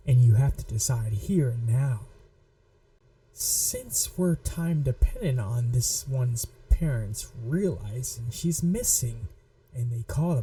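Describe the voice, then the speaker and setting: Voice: medium pitch, warm tone, distant mic, poor audio. A performer voicing a possessive, manipulative young yandere in an ASMR roleplay, taunting and coldly controlled. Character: possessive, manipulative yandere childhood friend, menacing young blackmailer. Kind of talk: scripted ASMR roleplay audio drama.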